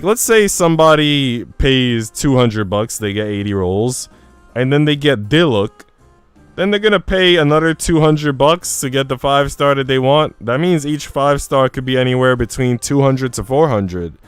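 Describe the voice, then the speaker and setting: Man, game streamer, clean smooth voice, casual slangy delivery, close dry mic, music behind. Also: medium pitch, no room sound. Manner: informal slangy talk. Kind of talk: casual livestream chat and reading aloud visual novel text.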